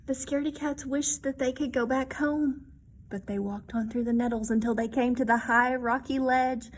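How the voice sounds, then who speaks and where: A woman's voice: sits high, steady tone, normal speed, a little hiss or hum. A woman reading a children's story aloud expressively, with simple explanations and questions to her young listeners.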